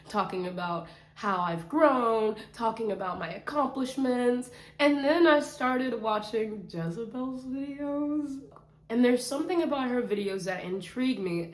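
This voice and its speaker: Slow, self-mocking woman in an echoey room. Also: mid-range voice. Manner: playful, self-mocking, drifts into sing-song bits. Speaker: vlogger. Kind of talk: rambling casual vlog. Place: bedroom. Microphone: camera mic.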